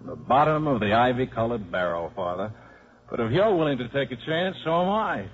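A man's voice: medium pitch, very animated, fairly quick, slight background noise, close to an everyday voice. An actor playing a wisecracking, sardonic gambling father in a 1950s radio drama, glib and sarcastic. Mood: dry, candid. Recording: muffled 1950s radio recording.

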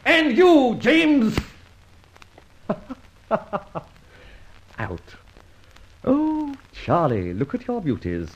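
An actor playing a cool, jaunty gentleman adventurer with breezy quips in an old radio serial. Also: very high-pitched, huge pitch swings, very slow, pauses often, slightly rough, full character voice, poor audio. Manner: breezy, quipping.

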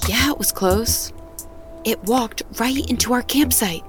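Wary, practical woman, lightly high voice acted. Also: unhurried, soft background music, lightly acted. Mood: wary, hushed. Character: wary, practical farm-raised woman out camping. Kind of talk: naturalistic audio drama dialogue.

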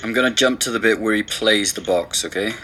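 A man's voice: fairly low pitch, fairly quick, sharp tone, a little hiss or hum. An actor playing a sceptical, inquisitive man helping an investigation in a naturalistic audio drama.

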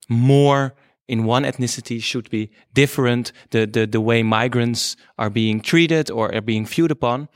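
A man moderating a panel who puts questions to the guests in a measured tone. His voice is of middle pitch and recorded dry and close. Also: a bit bright, dry recording. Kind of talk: panel discussion.

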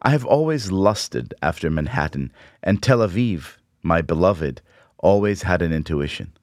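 Deep-voiced man reading an essay. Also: animated, a little mellow, soft background music. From Israel. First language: Hebrew. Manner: reflective, measured, wry.

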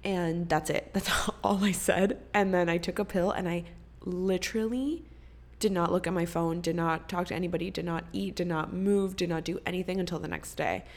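A woman's voice: on the low side, fairly even intonation, moderate pace. Congested, swearing podcast host woman. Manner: rambling, swears freely. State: congested, coughing. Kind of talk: casual chat.

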